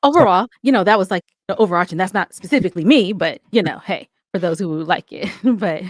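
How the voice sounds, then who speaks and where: A woman's voice: mid-range voice, animated, fairly quick, dry recording, clean studio sound. A woman giving talkative, explanatory answers as a guest in a conversational podcast interview. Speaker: podcast guest, audio drama creator.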